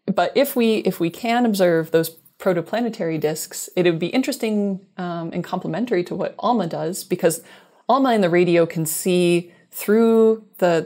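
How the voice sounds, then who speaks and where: Woman in her 30s, low voice, scientist being interviewed on a video call, thinks out loud and explains carefully. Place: small room indoors, video call. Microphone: wired earbuds headset on video call.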